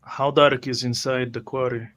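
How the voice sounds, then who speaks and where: A man playing a calm, pragmatic adventurer who speaks in a halting, subdued, conciliatory way. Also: mid-range voice, close to an everyday voice.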